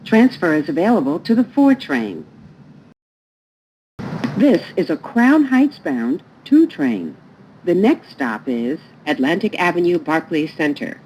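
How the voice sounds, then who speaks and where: Female transit announcer, lowish voice, formal and scripted, with faint train noise behind. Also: sing-song, unhurried. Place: recorded announcement played over subway car speakers. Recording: muffled, noisy recording inside train. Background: train ambience noise.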